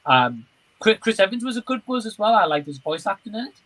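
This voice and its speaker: A man's voice: high voice, sing-song, a little fast, sharp tone, low-quality recording. A man hosting a livestream, chatting informally and agreeably, rambling a little and reading out comments. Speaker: livestream host. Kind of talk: casual livestream chat, reading out viewer comments.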